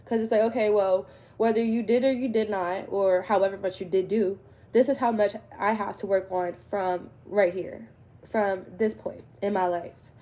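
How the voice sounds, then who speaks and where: A woman's voice: mid-range voice, steady tone, moderate pace, warm tone. A woman tarot reader, congested from a cold, giving conversational spiritual advice to her viewers and checking in with "right?".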